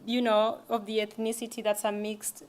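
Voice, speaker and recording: A woman's voice: fairly high pitch, monotone, normal speed, a little mellow. A young woman giving a casual library lecture, talking conversationally with frequent restarts. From East Africa. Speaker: language presenter.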